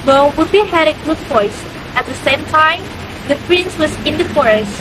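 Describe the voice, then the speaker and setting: A woman's voice: high-pitched, expressive, normal speed. A young woman reading scripted folktale narration as the storyteller. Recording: noisy background hum.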